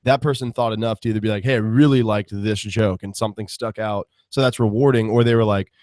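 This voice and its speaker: A man's voice: on the low side, fairly even intonation, fast talker, a little mellow, no echo, clean studio sound. A man co-hosting a casual podcast chat, chatty and asking probing questions.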